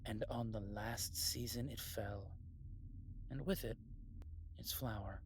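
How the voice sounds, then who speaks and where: A man's voice: on the low side, slow, poor audio. A man playing a blunt, determined rebel. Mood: storytelling. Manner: plain, blunt, matter-of-fact. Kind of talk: audio drama acting. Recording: steady background hiss.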